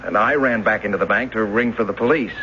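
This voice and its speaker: Man acting a mild earnest schoolteacher, low voice, halting delivery, radio drama, dull hissy recording. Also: natural voice. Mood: earnest, recalling. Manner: hesitant, halting. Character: earnest mild schoolteacher, former bank teller, recalling a robbery.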